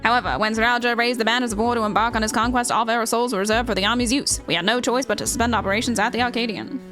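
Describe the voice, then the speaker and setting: Woman reading as a high-voiced, courteous tournament promoter. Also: steady tone, racing, a bit bright, faint music behind, natural voice. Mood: earnest, formal. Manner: formal, courteous, showy. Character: polite, theatrical tournament promoter and arena commentator. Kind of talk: reading game dialogue aloud.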